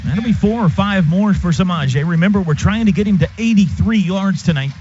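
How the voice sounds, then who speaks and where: A man gives live football play-by-play on the radio in short, clipped phrases that rise on big plays. His voice sits fairly high. The broadcast sound is slightly dull, with faint noise behind him. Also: fairly quick, a little mellow. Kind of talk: live American football commentary. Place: stadium radio booth. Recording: noisy, muffled stadium radio broadcast.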